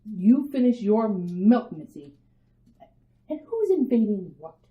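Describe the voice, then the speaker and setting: A woman playing an amused, indulgent mother who gently chides her child in a radio drama. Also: mid-range voice, big pitch swings, slow. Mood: gently chiding. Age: adult. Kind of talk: old-time radio drama acting. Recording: muffled, roomy radio drama recording.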